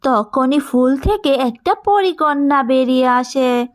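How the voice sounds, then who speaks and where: A woman narrating a cartoon fairy tale, her voice sitting high for a woman. She tells the story in a steady, measured way, like reading a storybook aloud.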